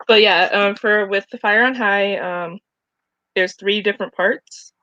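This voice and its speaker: 20 yr old woman, mid pitch, casual chatting on a stream, dry but kinda dull headset sound. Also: measured pace, pushed and bright, no room sound. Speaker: co-host of a book live stream. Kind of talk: casual group chat. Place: indoor room at home, on a video call. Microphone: headset on a video call. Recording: dull, lo-fi video call audio.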